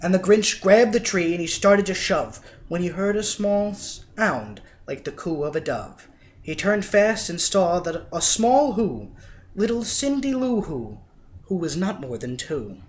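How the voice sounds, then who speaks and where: A man's voice: high-pitched, a little slow, a little hiss or hum. A man reading a rhyming storybook as a dramatic, theatrical narrator. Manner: theatrical, expressive. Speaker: storybook reader.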